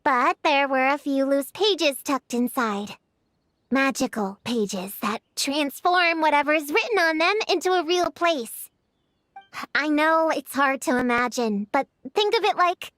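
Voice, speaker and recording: A woman's voice: sits high, lively intonation, unhurried, a bit bright, no echo, clean studio sound. A woman playing a bookish, stubborn and self-serious young witch girl in an anime-style video game. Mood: earnest.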